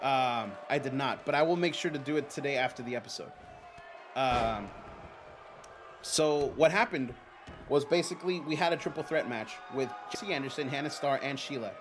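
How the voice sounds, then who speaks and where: A man whose first language is Spanish, hosting a live stream and commentating a simulated wrestling game in an excitable, chatty way. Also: mid-range voice, normal speed. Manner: excitable, chatty, reads viewer comments aloud. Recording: noisy background.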